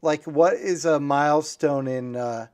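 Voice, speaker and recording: A man hosting a casual conversational interview, musing aloud and speaking haltingly in fragments. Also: on the high side, a little mellow. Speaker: podcast host.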